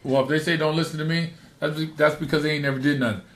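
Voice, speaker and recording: A middle-aged man with a naturally rough voice, hosting a podcast and giving emphatic, repeated advice in casual chat. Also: fairly high pitch, fairly even intonation, very fast, a bit bright, echoey room, a little hiss or hum. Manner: emphatic, repeats points for stress. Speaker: podcast host.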